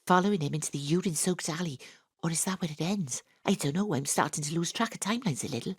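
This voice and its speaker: An eccentric psychic detective voiced by a woman narrates her own strange adventures with a straight face. Her voice sits fairly low. It is recorded close and dry at a home-studio microphone. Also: fast talker, husky, no room sound. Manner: earnest, deadpan, absurd. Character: eccentric, self-absorbed female amateur psychic sleuth. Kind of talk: comic first-person narration.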